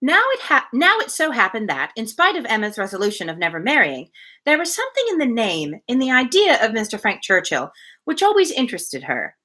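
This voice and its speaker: Animated, bright-voiced woman reading aloud. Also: a little high, fairly quick. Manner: expressive, informal and chatty between passages. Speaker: host of a live book reading. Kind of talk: reading a classic novel aloud with casual asides.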